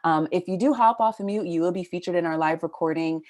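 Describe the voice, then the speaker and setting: A woman from California hosting a live webinar, with brisk hosting full of fillers. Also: fairly low pitch, darkish tone. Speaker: webinar host.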